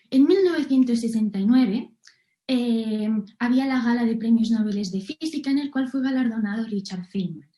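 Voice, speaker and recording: A woman's voice: fairly high pitch, mellow. A young woman from Barcelona giving a formal, didactic academic presentation as a student researcher over a video call. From Barcelona, Spain. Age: young adult. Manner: formal, didactic, with rhetorical questions. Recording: roomy, echoing video call audio.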